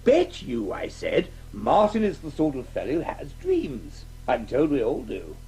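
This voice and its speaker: A man's voice: on the high side, wildly swooping pitch, a little slow, mellow, soft background music, exaggerated voice. A man with a British accent playing a hearty, blustering, conventional chap in a radio play, often repeating himself. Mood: jovial. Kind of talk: 1980s radio drama acting.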